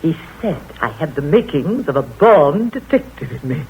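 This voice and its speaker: An actress playing a curious, gossipy spinster sister with an eager manner, in a 1940s radio drama. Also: female voice, sits low, sing-song, normal speed, darkish tone. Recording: dull old radio broadcast with faint hiss.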